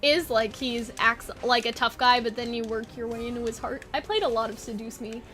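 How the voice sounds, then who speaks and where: A woman's voice: a little high, steady tone, fairly quick, a bit bright. A woman chatting casually and informally, thinking aloud like a video creator brainstorming with fans. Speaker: online video creator and host. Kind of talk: casual fan brainstorming chat.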